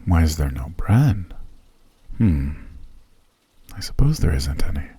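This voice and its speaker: A man voicing a courteous, centuries-old male elf who hosts a traveler in an ASMR roleplay, speaking in a gracious, formal, soft manner. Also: very deep voice, big pitch swings, slow pace, husky, dry recording, full character voice. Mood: friendly. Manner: gracious, formal and softly spoken. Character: ancient male elf host, courteous and genteel.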